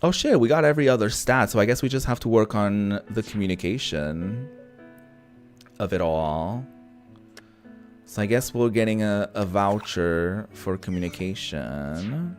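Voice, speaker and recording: A man doing casual let's-play commentary, thinking out loud with some mild swearing over background music. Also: on the low side, measured pace. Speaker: gaming host. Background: background game music.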